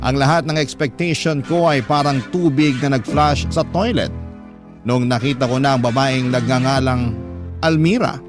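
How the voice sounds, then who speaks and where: Male drama narrator over clear music. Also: medium pitch, fairly even intonation, normal speed. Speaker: narrator telling a letter-sender's love story. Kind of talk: first-person scripted radio drama narration.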